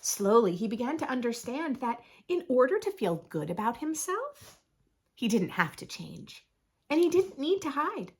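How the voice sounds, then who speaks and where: A woman reading a picture book aloud to young children, expressively, with dramatic pauses and drawn-out emphasis. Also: high voice, very animated, darkish tone, husky. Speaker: children's storybook reader, host. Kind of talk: expressive read-aloud narration for preschoolers.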